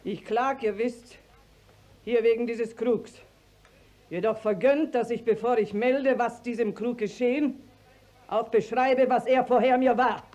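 A woman's voice: fairly high pitch, fairly even intonation, unhurried, a little mellow, lightly acted. An actress in a 1950s radio play voicing an indignant, long-winded village widow who declaims her complaint insistently and in relished detail. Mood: aggrieved.

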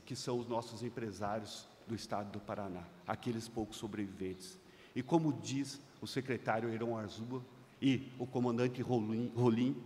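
Brazilian man giving a formal seminar speech. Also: mid-range voice. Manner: emphatic, rising loud on key phrases, slowing to stress points. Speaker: seminar speaker. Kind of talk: formal conference speech read from notes. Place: large conference hall, seated at a panel table. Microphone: desk microphone on a stand. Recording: long hall reverb.

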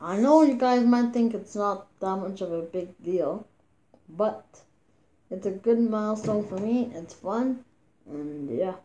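A woman's voice: medium pitch, expressive, unhurried, mellow, slight background noise. A woman talking casually over mobile gameplay as a YouTube gamer, stumbling and repeating words with many fillers, recorded on a phone. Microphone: phone screen recorder mic.

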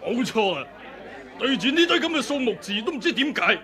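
A sly, gloating rogue. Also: male voice, very high-pitched, big pitch swings, brisk, pushed and bright, full character voice. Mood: eager. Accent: Hong Kong Cantonese. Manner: sly laughter, cajoling, rallying the crowd. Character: scheming, gloating male martial-arts rogue. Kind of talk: 1980s radio drama acting.

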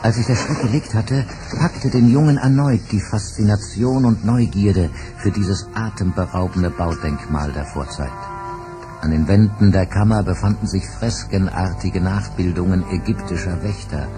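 A man's voice: low-pitched, normal speed. A man narrating an adventure radio play with dramatic storytelling. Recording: music bed under narration.

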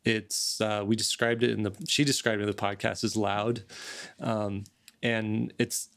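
Male podcast host, middle-aged, slightly low with vocal fry, conversational and halting. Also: flat delivery, a bit bright. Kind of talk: casual podcast chat. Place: home studio, on a video call. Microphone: large desk microphone, close.

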